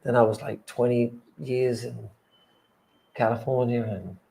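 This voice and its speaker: A man's voice: mid-range voice, level tone, a little slow, vocal fry, warm tone, poor audio. A man who grew up in England, chatting hesitantly on a livestream. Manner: hesitant, hedging. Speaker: livestream co-host. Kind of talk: casual livestream chat.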